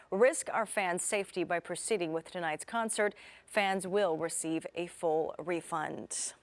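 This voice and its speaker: A woman's voice: fairly low pitch, no room sound. A woman with an American accent reading scripted television news as an anchor. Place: TV studio.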